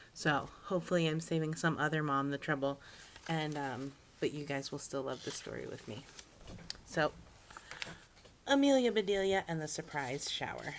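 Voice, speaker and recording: A woman's voice: low-pitched, vocal fry, slight background noise. A woman reading a picture book expressively for children, with friendly asides to the young listeners. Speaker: children's story-time reader.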